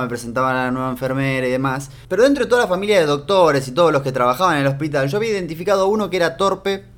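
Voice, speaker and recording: An Argentine man telling a personal story in a casual, animated and humorous way, with frequent restarts. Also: mid-range voice. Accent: Argentine Spanish.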